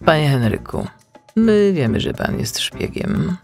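A streetwise storybook seabird, acted by a male narrator, cheeky and full of suspicion. His gravelly voice swoops dramatically through slangy lines. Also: on the high side, big pitch swings, measured pace, a little mellow, faint music behind, pitched above the performer's own voice, exaggerated voice. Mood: pressing, conspiratorial.

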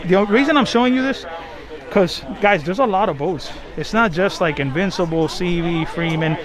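Young guy vlogging a boat show live, kinda high voice, tired from the heat, crowd noise behind. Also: moderate pace. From Miami, Florida. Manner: casual, excitable, slangy, drops Spanish phrases. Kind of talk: live-stream walkaround chat. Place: crowded outdoor boat show docks and boat cabins. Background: crowd chatter.

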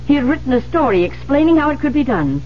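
On a muffled old radio broadcast with faint hum, an embittered woman, a secretary consumed by devotion. Her voice is high and she speaks with theatrical, vengeful intensity. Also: sing-song, quick pace. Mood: cold, threatening. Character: embittered, obsessive secretary, a menacing adoring spinster. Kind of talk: 1970s radio drama acting.

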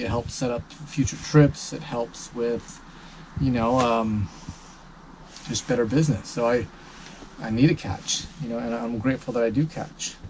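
A man's voice: medium pitch, a little hiss or hum, poor audio. Tired man talking to camera at night. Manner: tired, calm and grateful, with many fillers. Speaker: fishing charter captain. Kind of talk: casual vlog talk to camera. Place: parked vehicle at night. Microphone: action camera mounted close.

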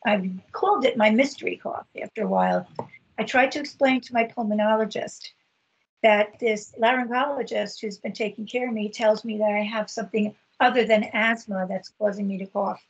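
Husky-voiced woman on a video call. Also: medium pitch, fairly even intonation. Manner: earnest, halting, many fillers. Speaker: patient advocate. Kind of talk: personal story in a video meeting. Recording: dull lo-fi video call audio.

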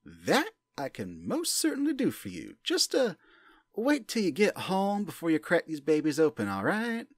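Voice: medium pitch, wildly swooping pitch, moderate pace, no echo, studio quality. An amateur actor voicing a flirty, motherly pink balloon elephant who serves at a soda bar, with a folksy, coaxing manner in an audio play. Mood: cheerful. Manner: folksy, coaxing, calls listener sweetie and dear. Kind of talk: amateur audio play, second-person roleplay monologue.

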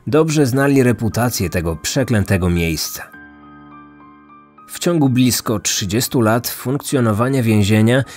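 A man narrates a true-crime podcast from a script. His voice sits at an average pitch, with music playing underneath. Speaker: podcast host. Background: background music.

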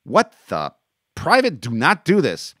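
A man's voice: low-pitched, big pitch swings, quick pace, no room sound, studio quality, voice pushed up. A man voicing a frustrated, defensive army corporal and loyal friend in an audiobook, with an insistent manner. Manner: exasperated, insistent. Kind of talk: audiobook character acting.

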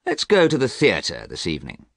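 A male reader acts out a confident aristocratic gentleman in a storybook. He is amused and assured. His intonation is expressive and rises and falls widely. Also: sits low, moderate pace, vocal fry, dry recording, clean studio sound, exaggerated voice. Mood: inviting.

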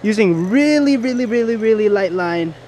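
Excited man fishing by a river. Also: high voice, moderate pace, slight background noise. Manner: excited, narrates gear while fishing, whoops and laughs. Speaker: fishing vlogger. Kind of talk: casual outdoor fishing vlog. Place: outdoors on rocks by a river. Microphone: head-mounted action camera.

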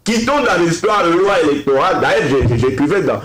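A Congolese man makes his case on a televised panel. His voice is fairly high for a man, with strong room echo on it. He is earnest and deliberate, building his argument with rhetorical questions. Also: big pitch swings. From Democratic Republic of the Congo. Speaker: political debate panelist. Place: TV studio round table. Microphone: desk microphone. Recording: echoey studio sound.

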